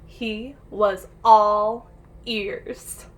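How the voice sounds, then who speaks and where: A woman's voice: mid-range voice, steady tone, extremely slow, slight background noise. A woman teacher reading a picture book aloud to young pupils, addressing them directly and encouragingly with questions and asides, on a home-made recording.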